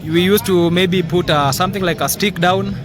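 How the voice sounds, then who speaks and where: A man's voice: high voice, fairly even intonation, normal speed. A man with a Kenyan accent hosting a casual outdoor chat at a market, repeating phrases and often tagging them with a questioning "yeah?". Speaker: host of a livestock market vlog. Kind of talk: casual on-location chat and commentary. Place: outdoors at a busy livestock market. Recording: outdoor market recording with music behind.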